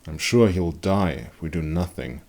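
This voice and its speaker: A man voicing a grim veteran monster hunter in a dark fantasy story, speaking gravely and honestly. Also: deep voice. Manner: grave, hushed, deliberate.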